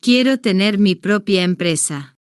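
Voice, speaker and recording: Smooth, slow woman narrating for learners. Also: medium pitch, expressive, no room sound, studio quality. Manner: slow, deliberate, evenly paced short sentences. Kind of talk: slow scripted first-person story narration for beginners.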